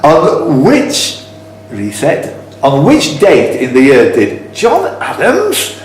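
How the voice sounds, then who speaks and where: Lively male quiz host in an echoey room. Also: a little high, slow. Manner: teasing, rambling, jokey asides. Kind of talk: live quiz question reading with banter. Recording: echoey room, slight background noise.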